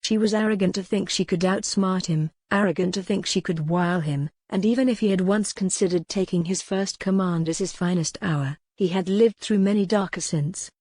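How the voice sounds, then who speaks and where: A woman's voice: fairly low pitch, normal speed, few pauses, a little mellow, no room sound. A woman reading historical romance fiction as a scripted audiobook narration.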